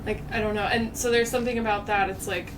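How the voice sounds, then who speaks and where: A woman's voice: mid-range voice, steady tone, fast talker. A woman hosting a podcast in casual, reflective chat, thinking aloud with many fillers. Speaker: podcast host. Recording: distant mic, background noise.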